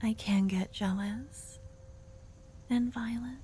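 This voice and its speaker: A woman playing a doting, possessive young girlfriend in an ASMR roleplay, speaking slowly and intimately in a soft, breathy whisper. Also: mid-range voice, a little hiss or hum. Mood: tender whisper.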